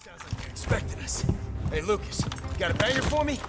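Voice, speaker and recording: An actor playing a jaunty, slangy commando who relishes danger in a war game. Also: male voice, sits high, animated, brisk, over loud music. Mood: eager. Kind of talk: cinematic war game acting.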